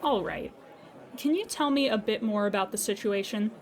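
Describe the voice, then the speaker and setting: A woman playing a patient, courteous insurance customer service agent in a scripted audio comedy, speaking formally and with measured politeness. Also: mid-range voice, lightly acted. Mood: polite, businesslike. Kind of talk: scripted comedy audio drama phone call.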